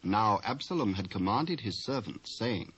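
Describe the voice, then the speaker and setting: A man's voice: lowish voice, expressive, unhurried, fairly bright tone, slightly rough. A British man giving a scripted dramatic narration of the Bible in formal archaic English. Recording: dull old cassette transfer.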